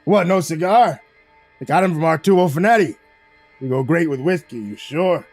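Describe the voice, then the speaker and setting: A man's voice: on the high side, animated, measured pace, no echo, soft background music. Man playing a chatty, cocky mob boss. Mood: jovial, pushy. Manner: chatty, slangy, chuckling. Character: genial slangy male mob boss, expansive and cocky.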